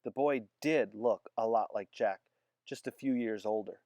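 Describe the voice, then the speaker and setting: A man reading a children's chapter book aloud with expressive storytelling. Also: fairly low pitch, measured pace, dry recording, studio quality. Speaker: narrator.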